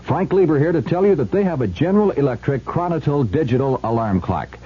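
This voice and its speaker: A man reading a scripted radio advert as announcer, in a mellow, husky voice. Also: on the high side, animated, fairly quick. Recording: dull old radio recording.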